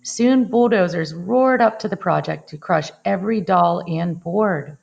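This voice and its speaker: A woman reading a picture book aloud as a storyteller, in an expressive, dramatic way. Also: lowish voice, unhurried, creaky, lo-fi sound. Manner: dramatic, acts out exclamations. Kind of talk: expressive children's read-aloud.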